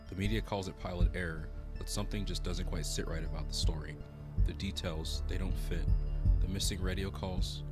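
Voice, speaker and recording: Low male voice doing a serious suspenseful documentary read, loud music under it. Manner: solemn, dramatic, suspenseful delivery. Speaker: video narrator, channel host. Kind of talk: scripted documentary narration.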